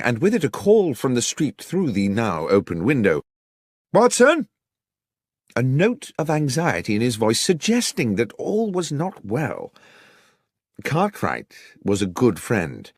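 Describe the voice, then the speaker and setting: A man with a British accent narrating a scripted first-person story in a measured, dramatic manner. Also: lowish voice, breathy edge, dry recording, studio quality. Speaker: audiobook narrator.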